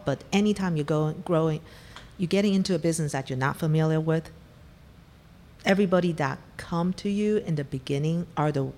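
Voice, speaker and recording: Low-voiced woman from Taiwan. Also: a little mellow, long reverb tail. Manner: candid, storytelling, gives blunt advice. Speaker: venture investor, interview guest. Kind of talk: conversational interview.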